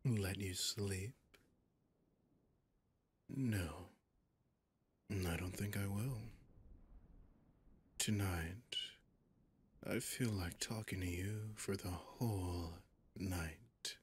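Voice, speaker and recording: A man playing a possessive, mocking male demon in an ASMR roleplay, seductive and sinister, with a breathy, often whispering, teasing drawl. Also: low voice, extremely slow, long pauses, full character voice. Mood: teasing menace.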